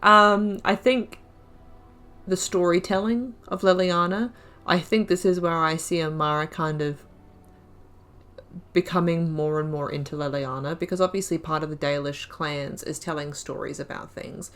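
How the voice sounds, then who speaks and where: A woman's voice: deep voice, fairly even intonation, normal speed, fairly bright tone. A woman giving casual game commentary, thinking aloud with lots of fillers as she picks dialogue options. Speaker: gaming YouTuber. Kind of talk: casual let's play commentary.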